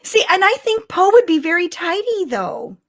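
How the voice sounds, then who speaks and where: A middle-aged woman co-hosting a live podcast, chatting casually with an animated, giggly and excitable manner. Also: very high voice, normal speed. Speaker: podcast co-host. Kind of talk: casual fan chat on a live stream.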